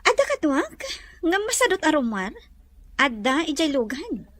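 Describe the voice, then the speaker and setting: A woman with a Filipino Ilocano accent acting a haughty, sharp-tongued young madam in an audio drama, curt and imperious. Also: high voice, very animated, normal speed, no room sound. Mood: polite, cool.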